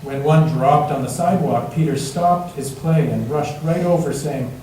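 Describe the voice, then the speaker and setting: Male storyteller, slightly high-pitched, dramatic picture-book read-aloud with emphatic pauses, in a very reverberant hall. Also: dark tone. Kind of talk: expressive read-aloud storytelling for all ages. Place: large indoor church space, heard from a distance. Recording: echoey church hall, distant mic, faint noise.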